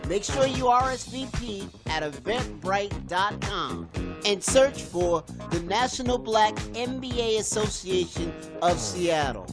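Female announcer, medium pitch, scripted community event promo, prominent music bed underneath. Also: expressive, a little slow. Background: loud music bed.

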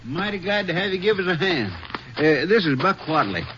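Friendly easygoing sheriff, folksy country american accent, bit high voice, hissy old radio western. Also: male voice, lively intonation. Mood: friendly, obliging. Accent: American rural Western. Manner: folksy, unhurried, obliging. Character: affable, easygoing small-town Texas sheriff. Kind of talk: 1950s radio western acting. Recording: muffled, hissy 1950s radio recording.

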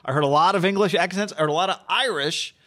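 A man, co-hosting a sports podcast in a laid-back, halting way and reading plugs for the show. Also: sits high, pushed and bright. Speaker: podcast co-host.

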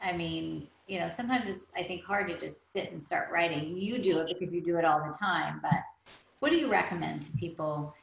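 A woman's voice: lowish voice, fairly quick, creaky, reverberant, slight background noise, rough recording. A woman hosting a podcast interview, affirming her guest and sharing anecdotes with enthusiasm. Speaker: podcast host.

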